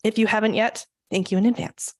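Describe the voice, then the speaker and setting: A woman's voice: medium pitch, fairly even intonation, fairly quick, darkish tone, no echo, studio quality. A woman giving an earnest public talk on conservation at a church forum, with frequent um fillers. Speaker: conservation presenter. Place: church meeting room.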